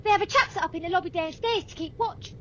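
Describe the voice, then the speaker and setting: A woman's voice: extremely high pitch, quick pace, a bit bright. A woman playing a plucky, earnest nine-year-old boy in a radio play, with old-fashioned urchin phrasing. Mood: chirpy. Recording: dull, lo-fi, faintly noisy recording.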